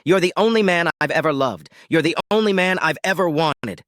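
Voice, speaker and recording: Guy doing a flustered pleading wife in an audiobook, higher voice, stammery and breathless. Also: lively intonation, normal speed, fairly bright tone, dry recording, clean studio sound, lightly acted. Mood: desperate, pleading. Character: distraught, pleading young cheating wife.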